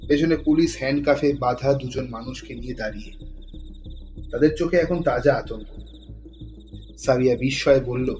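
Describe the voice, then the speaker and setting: Male narrator, medium pitch, dramatic thriller storytelling, scripted delivery, music behind. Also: moderate pace, pauses often, a little mellow. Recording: music under the voice.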